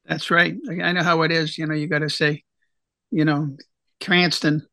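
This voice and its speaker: A man's voice: fairly high pitch, level tone, moderate pace, vocal fry, bright, forceful tone, dry recording. A man hosting a radio talk show, asking questions in a casual, conversational way. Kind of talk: radio interview.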